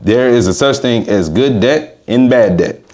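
A man's voice: medium pitch, a little slow, mellow, a little hiss or hum. A man hosting a podcast, speaking in casual slang and agreeing often in a loose, chatty way. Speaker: podcast host.

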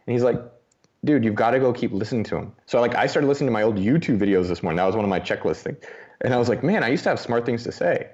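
A young man chatting casually as a podcast guest, animated and self-mocking, swearing freely. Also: mid-range voice, very fast. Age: young adult. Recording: dull lo-fi audio.

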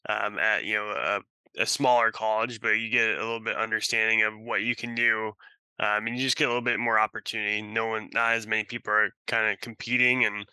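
A man's voice: lowish voice, steady tone, a little fast, sharp tone, clear, resonant tone, no room sound, poor audio. A man from Russia giving casual, rambling interview answers as a podcast guest. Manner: rambling, peppered with "you know". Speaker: podcast guest, sports franchise sales and service executive.

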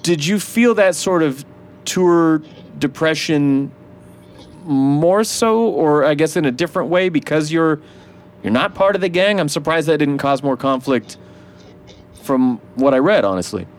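A man's voice: on the high side, animated, a little slow. Host, male musician, casual and profane, laughs often. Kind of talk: casual interview chat.

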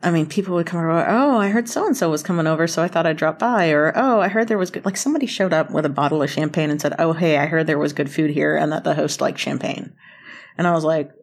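A woman's voice: low-pitched, fast talker. An American woman telling stories casually as an interview guest, chatty, informal and self-deprecating. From North Carolina, United States. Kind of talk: casual conversational storytelling.

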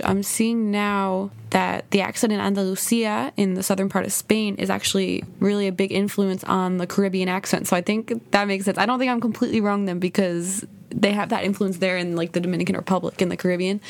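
A young woman hosting a casual solo podcast, chatty and thinking out loud, often saying "kind of" and "like". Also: mid-range voice, flat delivery, fairly quick, gravelly, no echo. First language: English. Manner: chatty, thinks aloud, many "kind of" and "like" hedges. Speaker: podcast host.

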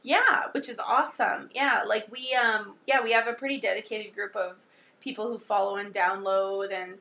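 A woman from Saskatchewan co-hosting a podcast, upbeat and agreeable. Her voice is bright and forward, with a gravelly fry running through it. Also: mid-range voice, fairly even intonation, normal speed, a little hiss or hum. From Saskatchewan, Canada. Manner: upbeat, agreeable, frequent affirmations. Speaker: podcast co-host. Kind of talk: casual chat.

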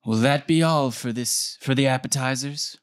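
A man's voice: medium pitch, moderate pace, smooth, close to an everyday voice. Man playing a pompous, ominous waiter. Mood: formally polite. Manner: pompous, portentous. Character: grandiose, ominous male waiter at a chain restaurant. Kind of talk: comedy sketch table read.

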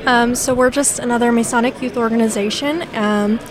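A woman in her twenties explains things in an informative, matter-of-fact way, with an occasional filler. Her voice sits slightly high. A loud crowd chatters in the hall behind her. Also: steady tone, a little fast. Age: 20s. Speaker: youth organization officer. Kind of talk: informative interview answers.

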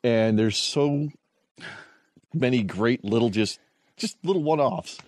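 A man's voice: medium pitch, expressive, takes it slowly, a little mellow, no echo, clean studio sound. A man co-hosting a podcast in casual chat, rambling and self-deprecating, with many restarts. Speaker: podcast co-host.

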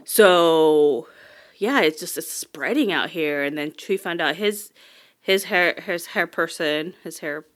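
A young woman telling stories in a casual chat, animated, laughing often and swearing freely. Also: sits low, takes it slowly. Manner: chatty, animated storytelling with frequent laughs and swearing.

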